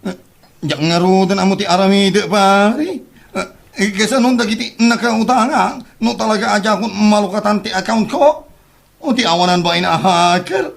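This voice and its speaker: A man's voice: high-pitched, moderate pace, creaky. A man playing a boastful, debt-ridden Ilocano man who whines and stammers in a comedy drama. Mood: worried, defensive. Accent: Filipino, Ilocano. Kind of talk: comedy audio drama acting.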